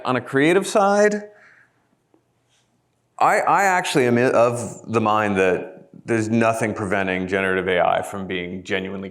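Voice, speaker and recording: A man speaking thoughtfully as a panelist, measured and reflective. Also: lowish voice. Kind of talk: panel discussion.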